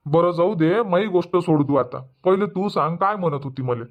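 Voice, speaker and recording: A man playing a high-voiced, grumbling village husband. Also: moderate pace, close to an everyday voice. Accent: Varhadi Marathi (Vidarbha). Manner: grumbling, argumentative. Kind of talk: Marathi comedy cartoon dubbing.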